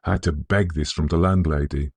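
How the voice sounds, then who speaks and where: A man's voice: a bass voice, steady tone, a little fast, vocal fry, slightly rough, dry recording, full character voice. A man voicing a humble, kindly working-class night watchman in an audiobook, anxious and deferential. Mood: concerned, apologetic. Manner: deferential, concerned.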